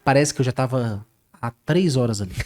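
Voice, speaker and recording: A man's voice: mid-range voice, fairly quick. A man talking casually as an interview guest, telling a story with lots of repetition and filler words. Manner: animated, repeats words, says "cara" often. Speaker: guest, Portuguese teacher.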